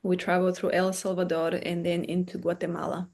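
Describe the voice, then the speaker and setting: Soft-spoken woman from Bulgaria. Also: fairly low pitch, flat delivery, brisk, gravelly. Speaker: podcast guest, meditation teacher. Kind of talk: casual conversation, storytelling.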